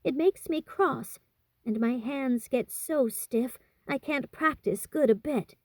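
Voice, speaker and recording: Woman playing a gentle, mild young girl, pitched up. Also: slow, no echo. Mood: mildly fretful. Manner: soft, mild, soothing. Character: gentle, shy young girl, the family peacemaker.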